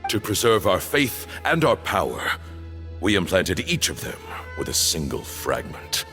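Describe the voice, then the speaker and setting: An actor playing a solemn male temple elder in a video game, speaking in grave, measured declamations. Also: sits low, sing-song, pushed and bright, soft background music, heavily acted. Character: solemn male cult elder, proud and zealous. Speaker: temple leader.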